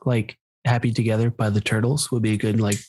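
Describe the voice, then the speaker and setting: A man chatting casually as a book podcast co-host, low-key and tentative in how he talks. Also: flat delivery, a little fast, darkish tone, slightly rough.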